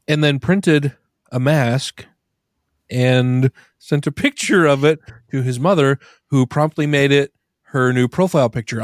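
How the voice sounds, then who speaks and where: A man hosting a casual podcast, hoarse and stuffy from laughing, rambling with many restarts and giggles. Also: mid-range voice, no echo, clean studio sound. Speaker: podcast host.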